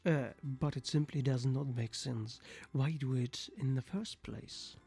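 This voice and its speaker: A woman's voice: a bass voice, creaky, mellow, slightly rough, full character voice. A woman with a German accent voicing a haughty, entitled female cat in a visual novel, with formal, pompous phrasing. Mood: offended, indignant. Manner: formal, pompous, slow and theatrical. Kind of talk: acted reading of a visual novel. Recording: music behind the voice.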